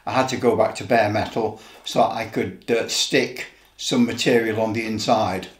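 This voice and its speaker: A man in his seventies giving an informal how-to demonstration in a halting, deliberate way, repeating phrases as he goes. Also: on the low side, steady tone. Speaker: presenter of a hobby how-to video. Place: indoor office room. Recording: roomy echo, background noise and clicks.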